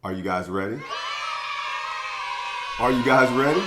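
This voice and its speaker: A man hosting a children's storytime, speaking to young listeners in an encouraging, expressive way as he chats and reads aloud. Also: mid-range voice, measured pace, over a noisy crowd, very noisy. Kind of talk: children's read-aloud and upbeat chat to young viewers.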